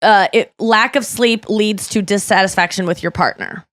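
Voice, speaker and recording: Dry close studio sound: a woman host with a slightly smoky morning voice, talking fast and loose. She tells stories in a chatty way and jumps in with little impressions. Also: mid-range voice, a bit bright, no echo. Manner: chatty, quick asides and impressions. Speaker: podcast host. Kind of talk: casual chat. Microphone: studio microphone.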